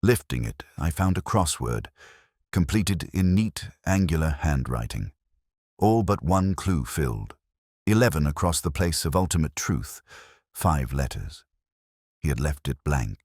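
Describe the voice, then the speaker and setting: A very deep-voiced, middle-aged detective narrates his own case. He is brooding and scholarly, phrasing things with literary solemnity. His intonation moves expressively within that low register, and the recording is dry and close. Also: very animated, unhurried, darkish tone, breathy edge, no room sound, heavily acted. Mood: brooding, reflective. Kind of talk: scripted first-person detective narration.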